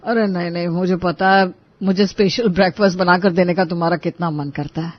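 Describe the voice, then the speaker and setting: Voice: medium pitch, fairly even intonation, brisk, no echo, highs cut off, close to an everyday voice. A performer voicing a henpecked husband in a family cartoon, reasoning and placating. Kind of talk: Hindi family cartoon voice acting.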